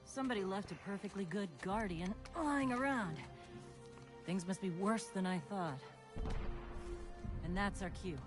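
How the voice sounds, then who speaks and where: An actress playing a confident, wry woman pilot who leads survivors in a video game cutscene, with a brisk, commanding manner. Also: medium pitch, music in the background, close to an everyday voice.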